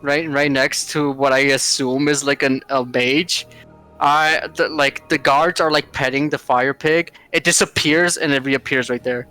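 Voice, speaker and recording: A man plays a tabletop game in a bright, mid-pitched voice. His talk is casual and halting, over faint music. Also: steady tone, smooth.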